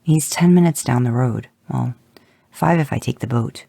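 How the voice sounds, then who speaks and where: A man's voice: medium pitch, moderate pace, gravelly, dark tone, no room sound. A man reading fan fiction, voicing a startled, worried male actor who is a father. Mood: alarmed.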